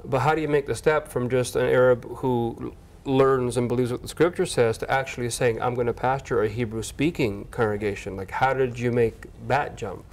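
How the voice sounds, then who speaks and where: A man's voice: medium pitch, a little fast, few pauses. Friendly male TV host interviewing. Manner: friendly, energetic, chatty with laughs. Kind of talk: casual on-location interview. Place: church hall indoors.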